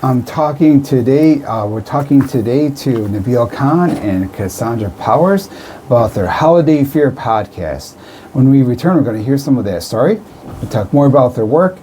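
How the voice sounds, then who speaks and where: Mellow male radio host, some background noise. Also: medium pitch, expressive, moderate pace. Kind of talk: casual radio interview.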